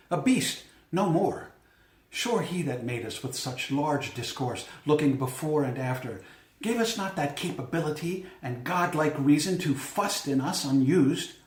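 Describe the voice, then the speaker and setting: A man's voice: medium pitch, natural voice. A man playing a brooding, self-reproaching young prince in an earnest, declamatory Shakespearean soliloquy. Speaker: amateur actor. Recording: echoey room.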